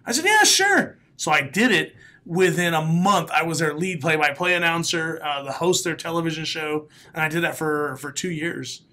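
A man in his late thirties, a comedian guest with a raspy voice, telling stories on a podcast in a small studio with a close mic. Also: fairly high pitch, normal speed, few pauses. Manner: animated storyteller, laughs often, bursts into loud announcer calls. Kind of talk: casual podcast interview. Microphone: studio mic, headphones.

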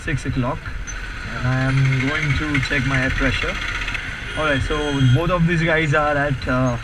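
A man's voice: a little high, steady tone, measured pace. A young man with an Indian accent talking candidly and casually, vlogging while riding a motorcycle outdoors. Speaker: motovlogger. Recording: loud motorcycle engine and outdoor noise.

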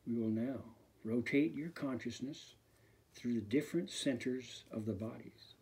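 Male meditation instructor, very slow and hushed, breathy, soothing delivery. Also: medium pitch. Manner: slow, soft and soothing, often sinking to a near whisper. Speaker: fitness instructor. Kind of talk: guided meditation.

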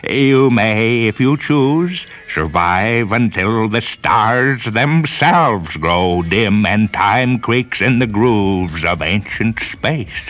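A man voicing a respectful, solemn court scientist in a science fiction story, declaiming formally with drawn-out words. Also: on the low side, animated, a bit bright, pitched above the performer's own voice, heavily acted. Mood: reverent, grandiose.

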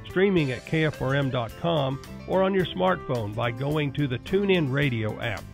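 Male announcer reading a station promo. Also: a little high. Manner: polished promo read. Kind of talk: promotional voice-over.

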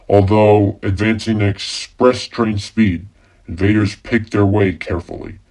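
Man acting as a low-voiced radio newsman, formal. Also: darkish tone. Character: urgent male radio newsman reporting a Martian invasion. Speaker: radio news announcer. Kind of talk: 1930s radio news bulletin.

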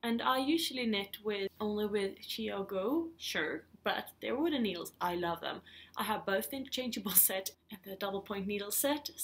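A woman's voice: mid-range voice, lively intonation, normal speed. A woman in her thirties from Sweden with a Swedish accent, chatting casually and a little hesitantly as a podcast host at home. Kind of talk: casual knitting vlog chat. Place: living room at home, indoors.

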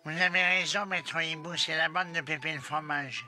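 A man playing an excitable, affectionate football coach in a cartoon, exclaiming and repeating himself. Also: a little high, normal speed, soft background music. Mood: serious. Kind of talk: animated cartoon dubbing.

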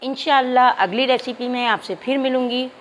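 A woman's voice: sits high, steady tone, normal speed, fairly bright tone, a little hiss or hum, poor audio. A woman narrating a cooking tutorial step by step, addressing viewers politely. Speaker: cooking channel host.

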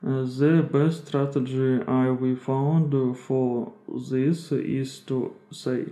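A young man reading an article aloud in a halting, hesitant way, broken into short phrases. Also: mid-range voice, fairly even intonation, mellow. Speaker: reader of online articles. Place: small indoor room. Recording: echoey small room.

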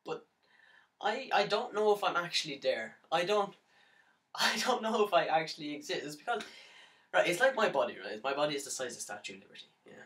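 Recorded at some distance from the mic, a man acts out a lovesick young man coming apart in a stage monologue. His delivery is halting and stammering, with big swings in pitch. The tone is bright and tense, full of manic despair. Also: very animated. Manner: halting, stammering, nervous laughs breaking into anguished outbursts. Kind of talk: dramatic monologue for an audition tape. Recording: roomy pickup, distant from the mic.

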